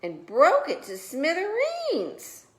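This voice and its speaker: A woman's voice: extremely high pitch, huge pitch swings, slow pace, pitched above the performer's own voice, full character voice. A woman voicing a small storybook bear cub, plaintive and melodramatic.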